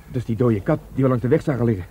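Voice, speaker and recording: An actor playing an easygoing, gently tolerant Dutch policeman in a radio drama. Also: mid-range voice, rapid-fire, slight background noise, natural voice. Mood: gentle.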